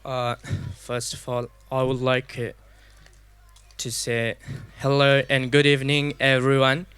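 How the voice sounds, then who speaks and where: A young man with an Afghan accent giving a personal speech at a community event, halting and deliberate, in short non-native English phrases. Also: mid-range voice, completely flat delivery, a bit bright, clear, resonant tone, no room sound. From Afghanistan, raised partly in Pakistan. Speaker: guest speaker at a community event. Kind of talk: public speech, personal testimony.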